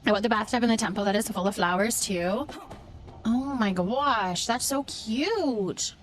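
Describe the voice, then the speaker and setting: Woman, high-pitched voice, game livestreamer, casual chat, chatty, thinking aloud. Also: a little slow, a little hiss or hum.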